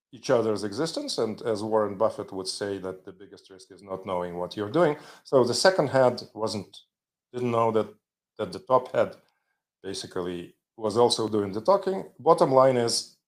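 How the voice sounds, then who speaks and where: A man hosting a live show, rambling and chatty with joking asides. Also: fairly low pitch. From Ukraine. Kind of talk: casual live-stream demo.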